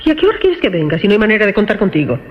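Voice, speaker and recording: Through dull radio sound and long reverb comes an actress playing a brisk Castilian woman in a suspense radio play. Her delivery swings widely in pitch. She teases, probes and exclaims with open skepticism. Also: very animated, slight background noise, natural voice. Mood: teasing. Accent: Castilian Spanish. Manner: teasing, exclamatory, probing. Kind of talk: suspense radio drama acting.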